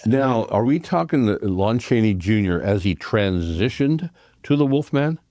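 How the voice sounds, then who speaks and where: A man on a community radio show talking about horror films, halting and restarting as he thinks. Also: on the low side, lively intonation, darkish tone, dry recording. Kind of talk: casual radio chat.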